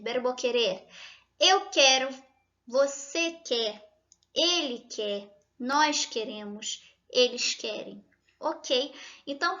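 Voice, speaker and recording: A woman's voice: high voice, pushed and bright, dull audio. A Brazilian woman whose first language is Portuguese, teaching Spanish verbs in an online lesson with a Brazilian accent, explaining patiently and putting questions to her viewers.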